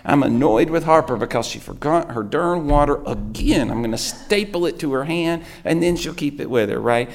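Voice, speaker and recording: A man, a pastor preaching with a sore, hoarse throat, telling rambling self-mocking stories. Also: fairly high pitch, lively intonation, normal speed, few pauses. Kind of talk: sermon.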